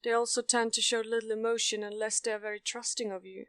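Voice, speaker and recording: A woman playing a bookish, deadpan, know-it-all strategist in an audio drama, speaking matter-of-factly. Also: fairly high pitch, fast talker, clean tone, no echo, lightly acted. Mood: analytical.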